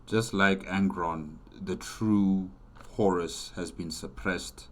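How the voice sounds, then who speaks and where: A man's voice: deep voice, fairly even intonation, slow pace. A man hosting a reaction video, commenting casually and informally.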